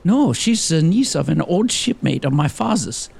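Man as a fretful, high-pitched young lawyer. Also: sing-song, normal speed, vocal fry, darkish tone, a little hiss or hum, full character voice. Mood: comic, self-important. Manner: theatrical, fretful. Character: fussy, squeamish, excitable young lawyer on a voyage. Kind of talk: gothic comedy audio drama dialogue.

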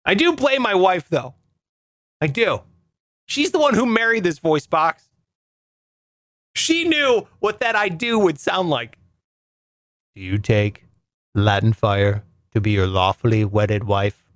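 Young guy host with a reedy nasal voice, really lively pitch, dry close sound. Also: slow pace, pauses often, no room sound. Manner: animated, mock-outraged storytelling. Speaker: radio show host. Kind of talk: comic monologue.

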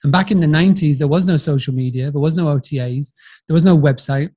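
A man's voice: on the high side, quick pace, a little mellow, husky. A man in his forties with a Yorkshire accent, a podcast guest telling his story in a friendly, chatty way, often restarting and repeating words. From England, Yorkshire. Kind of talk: casual storytelling interview.

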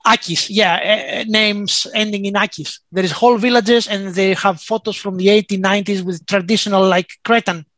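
A man with a Greek accent, a native Greek speaker chatting casually in English, talking fast and telling stories with many "uh" pauses. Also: sits high, steady tone, a bit bright, no echo. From Greece. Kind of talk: casual chat.

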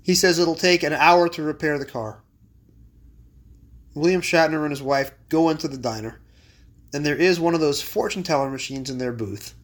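Hoarse wry man, a bit high. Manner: wry, reads fortune cards aloud with mock drama. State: hoarse after illness. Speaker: podcast host. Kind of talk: casual chat. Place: home library.

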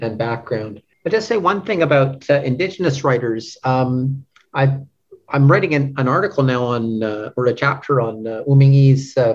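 A man speaking as a podcast interview guest, casual and hesitant, groping for words and checking in with the listener. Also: mid-range voice. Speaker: podcast guest, literary translator. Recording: dull, band-limited lo-fi audio.

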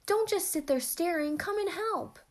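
A woman's voice: very high voice, lively intonation, normal speed, pitched above the performer's own voice. A woman voicing a frantic, bossy older sister in love in a storybook, crying out urgently and imperiously.

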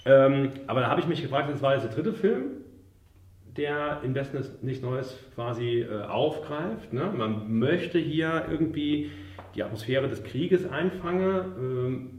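Guy a bit far from the mic, echoey room, casual film chat, halting, keeps adding little tag questions. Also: medium pitch, darkish tone, slight background noise. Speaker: podcast host and military historian.

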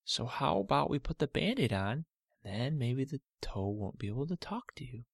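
A man's voice: mid-range voice, big pitch swings, normal speed, vocal fry, dry recording, clean studio sound, pitched above the performer's own voice. A man voicing a patient father, soothing and reassuring in tone. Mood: coaxing.

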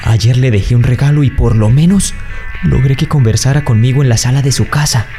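Actor as an earnest young lovestruck son. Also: male voice, mid-range voice, brisk, dark tone, soft background music, natural voice. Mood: earnest, hopeful. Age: young adult. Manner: earnest, pleading. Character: lovestruck, exasperated young heir pleading with his mother. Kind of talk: radio drama acting.

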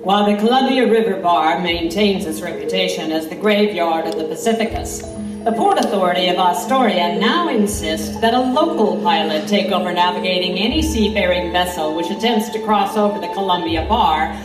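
A woman's voice: lowish voice, close to an everyday voice. Woman as adventuring reporter narrating, roomy sound. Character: intrepid female reporter recounting her adventure. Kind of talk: dramatic first-person scripted narration. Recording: roomy, slightly distant, music underneath.

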